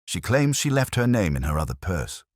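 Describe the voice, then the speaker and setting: Man playing a wisecracking deep-voiced detective's sidekick. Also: big pitch swings, moderate pace, dry recording, studio quality. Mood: wry. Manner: wry, flippant. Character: wisecracking, streetwise male detective's assistant.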